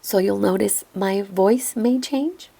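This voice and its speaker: A woman narrates a crafting voice-over at an unhurried pace, stopping now and then to think aloud. Her voice is raspy and a little hoarse from a lingering cough, with a gravelly edge. She explains each step in a gentle, patient way. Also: mid-range voice. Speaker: crafting tutorial host. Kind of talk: calm instructional voiceover. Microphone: voice-over recorded apart from the video.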